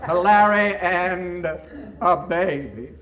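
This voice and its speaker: Through a dull vintage radio recording, a glib American screenwriter. His high-pitched voice has a wry, dramatic pitchman's delivery from forties comedy. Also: drawn-out words, crowd noise. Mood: mock-dramatic. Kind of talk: 1940s radio comedy acting.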